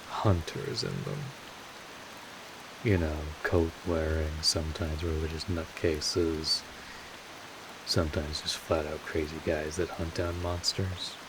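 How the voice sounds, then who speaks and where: A man playing a seasoned, streetwise vampire mentor in an audio roleplay, wry and casual as he talks patiently and reassuringly to the listener. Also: a bass voice. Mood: dry, reassuring. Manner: wry, unhurried, casual, with swearing. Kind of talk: scripted audio roleplay, one-sided conversation to the listener. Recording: steady hiss, rain-like noise.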